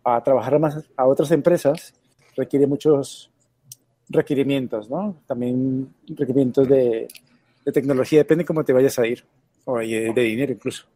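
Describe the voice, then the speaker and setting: Man in his thirties, interview guest, mellow relaxed voice, dry close sound. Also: mid-range voice, moderate pace, no echo. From Colombia. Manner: relaxed, storytelling, swears casually. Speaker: guest, web designer. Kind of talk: casual interview.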